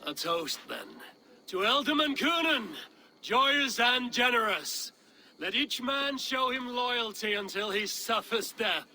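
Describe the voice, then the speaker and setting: A woman's voice: on the high side, lively intonation, slow pace, bright, forceful tone, slight background noise, exaggerated voice. A woman playing a bold, hard-drinking Viking warrior in a game cutscene, blunt and boastful. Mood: companionable. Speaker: lead character. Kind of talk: video game cutscene acting.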